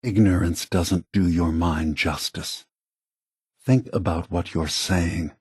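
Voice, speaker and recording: Game android leader: husky, very unhurried, close and dry, weary, grave, measured statesman. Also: low-pitched, a little mellow, dry recording, heavily acted. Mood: firm, reproachful. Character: weary, solemn android leader of a refuge, male. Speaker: video game character. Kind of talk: scripted game dialogue.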